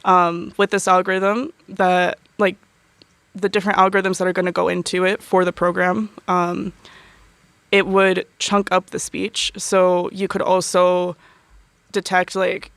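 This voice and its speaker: Young woman with vocal fry. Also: on the low side, little pitch movement, unhurried, fairly bright tone, no room sound. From United States. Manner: chatty, many fillers and laughs. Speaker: university student guest. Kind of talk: casual interview chat.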